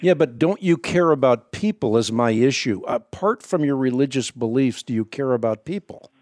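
A man's voice: medium pitch, lively intonation, normal speed, a little mellow, no echo. A Dutch-born man with Dutch as his first language, hosting a call-in radio debate and pressing his caller with probing, argumentative questions. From Netherlands.

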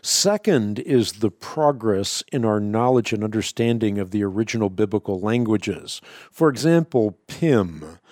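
Measured man, Dutch native, dry sound. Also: fairly low pitch, expressive, darkish tone, dry recording. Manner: measured, authoritative, explanatory. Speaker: radio Bible-answer host. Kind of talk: call-in radio answer.

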